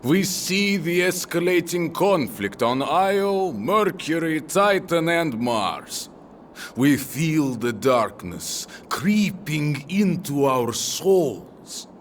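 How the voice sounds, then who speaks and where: Guy doing a solemn heroic warrior giving big speeches, slow n very dramatic swings, kinda distant noisy mic. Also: on the high side, big pitch swings. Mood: grave, solemn. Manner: oratorical, measured, impassioned. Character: noble, earnest male warrior rallying his comrades with solemn conviction. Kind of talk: dramatic speech in a fan fiction audio drama.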